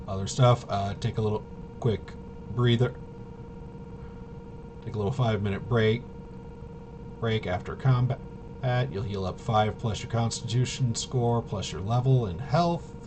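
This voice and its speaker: A man casually explaining game rules unscripted, halting and rambling as he thinks aloud. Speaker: tabletop RPG reviewer and explainer. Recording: clearly noisy with low rumble.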